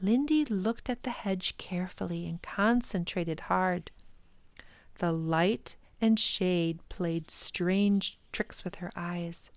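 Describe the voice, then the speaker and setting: A woman reading a children's story as narrator, expressively, with dramatic pauses and hushed suspense. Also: on the low side, no room sound. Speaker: children's library storytime reader.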